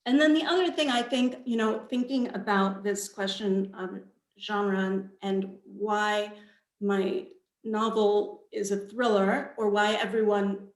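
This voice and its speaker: Slow-talking woman in an echoey room. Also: mid-range voice, fairly even intonation. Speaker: author, panel guest. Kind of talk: panel interview answers about own book. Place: indoors on a video call. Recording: roomy, echoey video call sound.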